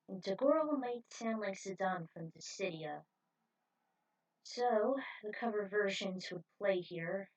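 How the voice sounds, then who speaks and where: A woman's voice: lowish voice, a bit bright, low-quality recording. A woman reading fan fiction aloud.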